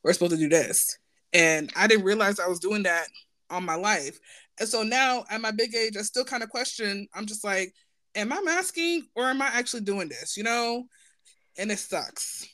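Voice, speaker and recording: A woman's voice: medium pitch, normal speed, sharp tone, no echo. Woman just chatting on a podcast, mid voice, super informal and open, lots of little check-ins. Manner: informal, candid, conversational asides seeking agreement. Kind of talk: casual personal conversation.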